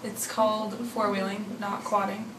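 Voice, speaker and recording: A young woman from Arizona reading word lists slowly and flatly for a dialect study. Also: mid-range voice, background noise. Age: young adult. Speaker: study participant. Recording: echoey room, distant mic.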